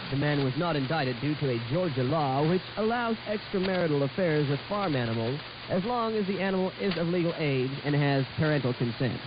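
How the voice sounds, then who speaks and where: A man's voice: a little high, lively intonation, brisk, hardly any pauses. A man reading satirical scripted news as a mock radio anchor. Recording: hissy, muffled shortwave radio recording.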